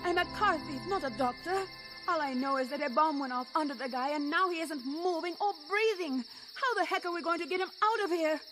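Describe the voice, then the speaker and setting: A woman's voice: extremely high pitch, brisk. An actress playing a sardonic, stressed young woman mutant and former thief. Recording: loud music score behind.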